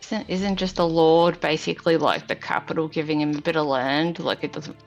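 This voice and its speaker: Woman, casual game player, low gravelly voice, slightly dull recording with music. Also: steady tone, normal speed. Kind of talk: casual chat.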